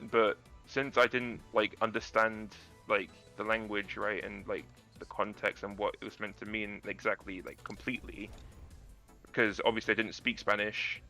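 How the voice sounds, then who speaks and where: Deep-voiced man, bright forceful tone. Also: little pitch movement, normal speed, soft background music. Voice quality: naturally deep voice. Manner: rambling, restarts sentences, laughs often. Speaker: guest actor. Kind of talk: casual chat about acting.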